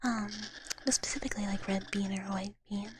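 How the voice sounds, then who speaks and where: A woman whispering softly and breathily in casual ASMR chat close to the microphone, with a cutesy, girlish way of talking. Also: medium pitch, fairly even intonation, fairly quick, noisy background. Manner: girlish cutesy talk, refers to herself in third person. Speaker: ASMR live streamer.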